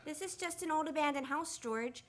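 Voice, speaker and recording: A woman's voice: sits high, normal speed. A woman playing an earnest, easily flustered female guardian angel in a radio play. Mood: gentle, solemn. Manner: earnest, excitable, hesitant when flustered.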